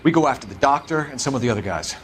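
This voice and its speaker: An actor playing a calm, methodical detective in a video game cutscene, speaking in a level, steady way. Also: male voice, medium pitch, rapid-fire, slight background noise, natural voice.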